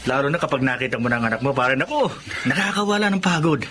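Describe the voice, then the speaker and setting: A man's voice: medium pitch, animated, fast, a bit bright, close to an everyday voice. A man with a Filipino accent playing a jovial, teasing friend who is a seasoned dad in a radio drama.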